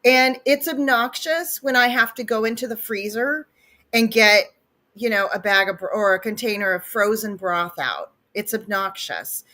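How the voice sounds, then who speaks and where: Bright, chatty woman with a sniffle. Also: on the high side, normal speed. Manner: chatty, laughs often, enthusiastic. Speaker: co-host. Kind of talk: casual livestream chat.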